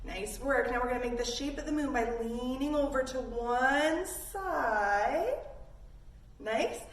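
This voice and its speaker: A high-pitched woman teaches young children through playful movement games, encouraging them as she goes. She is recorded at a distance in a roomy space. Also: takes it slowly. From Canada. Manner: encouraging, playful, with sound effects. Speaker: children's yoga instructor and host. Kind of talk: instructional play-along for young children. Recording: roomy, distant mic with faint noise.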